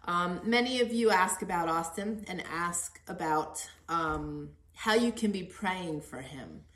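A woman hosting an informal podcast interview, gently prompting and encouraging. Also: fairly low pitch, unhurried. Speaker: podcast host. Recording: roomy echo.

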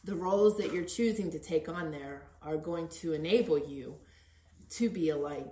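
A woman with a fairly low voice talks as a podcast host in a very roomy, echoey space. She is earnest and encouraging, speaking conversationally as she interviews. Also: normal speed, mellow.

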